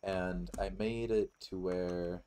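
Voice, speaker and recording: A man's voice: low voice, steady tone, slow pace, mellow, smooth. A man giving casual, rambling let's play commentary with some swearing. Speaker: gaming YouTuber.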